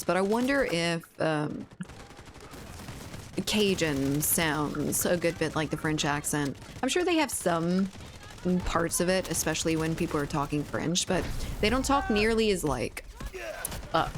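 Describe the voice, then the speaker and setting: A young woman with a fairly low voice, streaming and chatting with viewers. She rambles from thought to thought, with a faint hiss of noise under the recording. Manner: rambling, chatty, laughs often. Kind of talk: casual chat with viewers while gaming.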